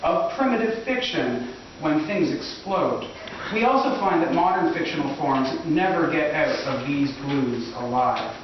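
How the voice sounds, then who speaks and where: A man's voice: on the high side, animated, unhurried. A man performing a scripted poetry reading in the style of a mock-academic lecture, declaimed live in a gallery. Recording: echoey room, distant dull mic, clear background noise.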